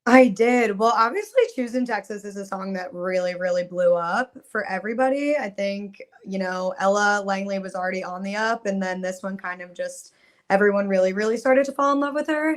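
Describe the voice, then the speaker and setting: A woman's voice: medium pitch, a little fast. A young adult woman, a singer guest on a podcast, chatting casually and upbeat with many "like"s and "um"s. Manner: chatty, upbeat, uses "like" and "um" often. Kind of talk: casual interview chat.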